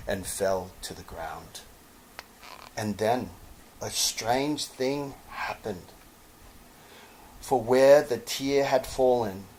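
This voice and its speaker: A man reading a children's story dramatically and expressively, lingering over short phrases with pauses. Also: medium pitch, a little mellow, rough recording. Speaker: storybook narrator, actor.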